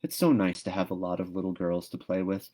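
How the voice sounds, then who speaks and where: A man's voice: sits low, brisk, dark tone, close to an everyday voice. A man voicing a chatty, dreamy, dramatic young orphan schoolgirl from a storybook, in an earnest, gossipy ramble.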